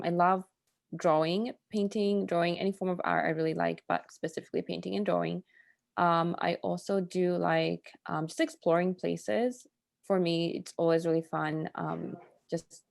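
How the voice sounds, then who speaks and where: A woman in her thirties from Tanzania, introducing herself in a relaxed, hesitant way. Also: lowish voice, gravelly, no room sound, studio quality. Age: 30s. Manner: relaxed, hesitant, many fillers. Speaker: guest. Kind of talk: casual self-introduction.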